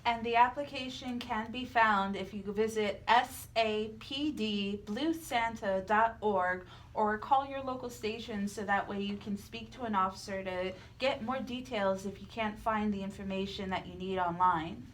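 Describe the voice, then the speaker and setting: A woman's voice: mid-range voice, normal speed, few pauses, a little hiss or hum. A woman co-hosting a radio show, presenting in a conversational way.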